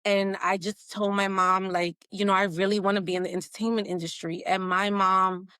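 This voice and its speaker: A woman's voice: medium pitch, flat delivery, brisk, pushed and bright, no room sound, studio quality. A woman from Puerto Rico chatting as a podcast guest, telling stories with lots of casual fillers. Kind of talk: casual interview chat.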